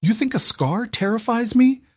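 A man voicing a guarded, cynical young noblewoman who talks briskly and erupts in fury. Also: on the low side, lively intonation, darkish tone, breathy edge. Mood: furious. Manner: brisk and clipped, breaking into furious outbursts.

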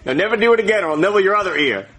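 A man's voice: sits high, animated, very fast, pushed and bright, low-quality recording. A man playing a grumpy, sarcastic comic husband in a skit, given to ranting. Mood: mock angry. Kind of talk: comedy skit acting.

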